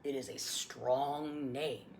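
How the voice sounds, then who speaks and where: A woman voicing a gentle old grandfather who tells a tale slowly, gravely and softly in a storybook read-aloud. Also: a bass voice, steady tone, a little hiss or hum, voice pushed down, exaggerated voice. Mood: gentle, solemn. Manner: slow, grave, hushed storytelling.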